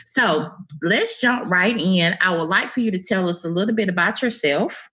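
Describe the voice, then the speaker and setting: A woman's voice: lowish voice, normal speed, bright, forceful tone, dull audio. A woman hosting a casual interview, upbeat and encouraging, with many fillers. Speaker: interview host. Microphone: video call.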